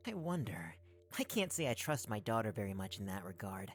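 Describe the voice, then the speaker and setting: A very deep-pitched, gentle little spirit cat, played by a woman in an anime fan dub. The creature speaks calmly with fatherly care and light teasing, over some music. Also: a little fast. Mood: gently protective.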